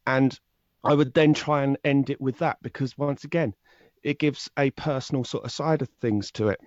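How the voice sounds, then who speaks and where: A man's voice: mid-range voice, steady tone, normal speed, dry recording, muffled recording. A man answering as a podcast guest in a casual interview, explaining things step by step and often repeating a word before he carries on.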